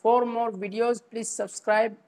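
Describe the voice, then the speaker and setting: Slow-speaking woman with an Indian accent. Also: a little high, little pitch movement, dark tone. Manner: formal, careful, recited phrase by phrase. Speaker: speech presenter. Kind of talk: prepared school-style speech recitation.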